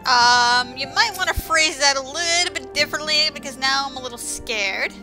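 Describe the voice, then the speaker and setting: Female game commentator, high and piercingly bright, sarcastic and informal, casual delivery over game music. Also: moderate pace. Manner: sarcastic, exclamatory; informal, swearing. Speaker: gameplay commentator.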